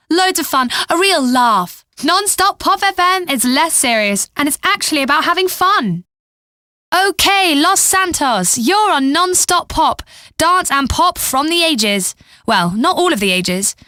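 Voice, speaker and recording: An actress playing a cheeky, sardonic British radio DJ, delivering breezy, tongue-in-cheek patter between pop songs in a video game. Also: female voice, high voice, sharp tone, no echo, studio quality, heavily acted. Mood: sarcastic, then breezy, teasing.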